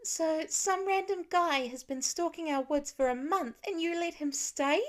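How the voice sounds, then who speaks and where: A woman's voice: very high-pitched, a little slow, voice pushed up. A woman voicing an angry, suspicious teenage daughter who demands answers in an audiobook story. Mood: indignant. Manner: accusing, indignant questioning. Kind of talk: acted audiobook dialogue.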